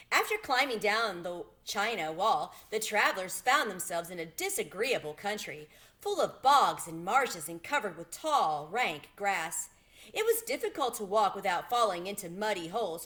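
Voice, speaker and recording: A woman narrating a children's chapter book aloud in an expressive, deliberate way. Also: mid-range voice. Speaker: storybook reader.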